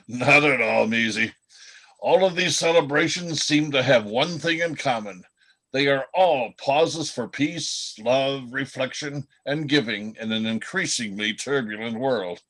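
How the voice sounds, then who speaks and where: A man's voice: mid-range voice, a little slow, fairly bright tone. A man reading the part of a good-natured, earnest talking reindeer in an amateur radio play. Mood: calm, reassuring. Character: good-natured talking reindeer who finishes others' sentences.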